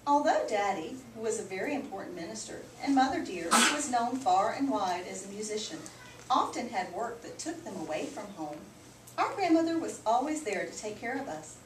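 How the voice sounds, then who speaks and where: A woman reading a picture book aloud to children like a teacher, with expressive, emphatic storytelling. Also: a little high, moderate pace. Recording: roomy, distant mic, clearly noisy background.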